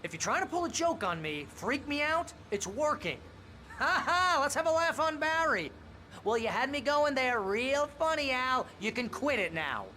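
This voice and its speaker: High-strung, wisecracking American sidekick. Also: male voice, big pitch swings, moderate pace, sharp tone, a little hiss or hum, heavily acted. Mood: sarcastic, rattled. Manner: sarcastic, fussing, exasperated. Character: nervous, skeptical, wisecracking best friend and agent. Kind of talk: video game cutscene acting.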